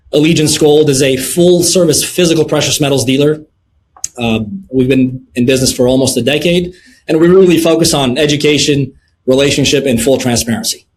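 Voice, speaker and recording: A middle-aged man from Lebanon answering interview questions in a measured way, with many filler sounds between phrases. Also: medium pitch, a little hiss or hum, poor audio. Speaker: guest, precious metals dealer.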